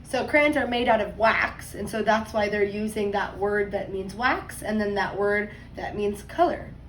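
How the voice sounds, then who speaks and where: A woman teacher reading a picture book aloud to young children, expressively and deliberately, stressing words and adding conversational asides. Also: medium pitch. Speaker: second grade teacher. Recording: roomy echo, distant mic, faint noise.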